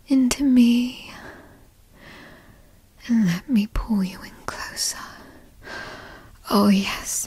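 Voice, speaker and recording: A woman playing a possessive, doting, teasing girlfriend in a whispered ASMR roleplay, coaxing and cooing in a breathy voice. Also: on the high side, a little mellow, exaggerated voice. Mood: tender, soothing. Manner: coaxing, cooing, slow and indulgent. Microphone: close studio mic.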